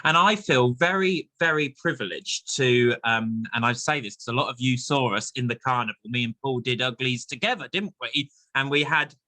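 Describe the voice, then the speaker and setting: A man's voice: medium pitch, normal speed, few pauses, bright, forceful tone, rough recording. An English man hosting a casual chat interview in a chummy, teasing manner. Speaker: host, interviewer.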